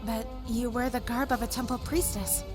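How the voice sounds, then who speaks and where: A woman's voice: on the high side, fairly even intonation, moderate pace, over music, natural voice. A woman voicing a level-headed, determined young cadet army leader in a video game fan dub. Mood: composed.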